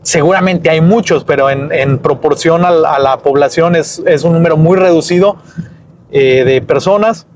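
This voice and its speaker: A man talks through a lesson while walking home outdoors. His voice sits a bit high, and he wanders, backtracks and corrects himself in a loose, chatty way. Faint outdoor ambience hums underneath. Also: moderate pace, a little mellow, slight background noise. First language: Spanish. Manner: rambling, self-correcting, chatty. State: dry-mouthed. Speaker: German teacher and vlogger.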